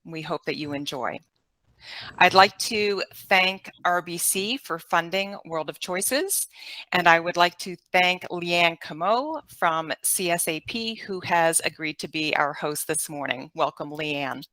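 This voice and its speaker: Female presenter, lower voice, very bright and crisp, dry sound. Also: slow, low-quality recording. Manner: upbeat, enthusiastic. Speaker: education lead. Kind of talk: scripted welcome speech.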